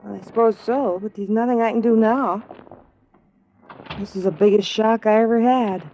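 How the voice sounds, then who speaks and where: An American actress playing a guarded, plain-spoken young roommate in a 1950s radio drama, hesitant and colloquial. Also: female voice, a little high, steady tone, normal speed, warm tone, a little hiss or hum, close to an everyday voice. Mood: wary, shaken. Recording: dull old radio recording.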